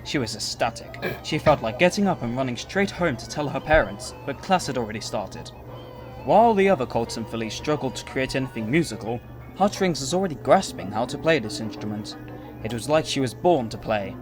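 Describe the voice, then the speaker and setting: A man's voice: mid-range voice, animated, brisk, over music. A man reading scripted story narration as the narrator of an audio drama.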